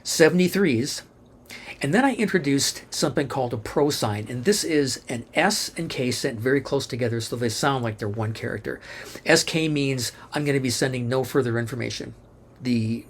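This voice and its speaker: A man's voice: mid-range voice, slight background noise. A man narrating an instructional tutorial, explaining methodically step by step and spelling out letters one at a time. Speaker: instructor and presenter.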